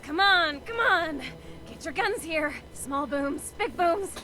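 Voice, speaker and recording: Manic, high-voiced female arms merchant. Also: slow, full character voice. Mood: gleeful, hawking. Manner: excitable, sing-song sales patter. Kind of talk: video game character acting. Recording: clearly noisy game audio.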